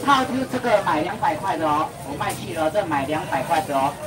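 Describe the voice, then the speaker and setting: A young man with a Taiwanese accent auctioning seafood, calling out prices in a rapid sing-song patter and bantering with the crowd at an outdoor market. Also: a little high, lively intonation. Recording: distant voice over loud market noise.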